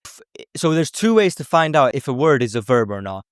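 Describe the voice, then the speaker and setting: Male language teacher, mid-pitched, informal explainer, conversational with frequent check-ins, very dry recording. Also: very animated, brisk, clear, resonant tone, no room sound. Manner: conversational, often checks in with okay and right.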